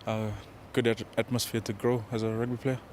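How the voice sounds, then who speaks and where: A man in his twenties with a Fijian accent answers interview questions as a rugby player, in a casual, modest and halting way. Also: medium pitch, flat delivery, a little mellow, slight background noise. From Fiji. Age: 20s. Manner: hesitant, modest, halting delivery.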